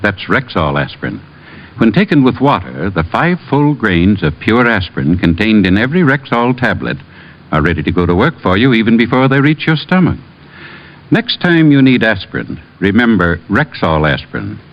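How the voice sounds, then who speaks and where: An earnest American family druggist pitches in an old radio commercial. His voice is fairly low and moves animatedly, reassuring in manner, on a muffled vintage recording. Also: big pitch swings, normal speed.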